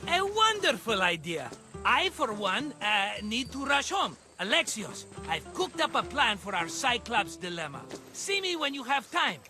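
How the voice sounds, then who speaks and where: Slick game merchant, always selling, glib n theatrical, high voice that swoops around. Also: male voice, big pitch swings, unhurried, music in the background, full character voice. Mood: evasive, upbeat. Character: scheming, wheedling merchant friend, adult man. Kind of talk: video game cutscene acting.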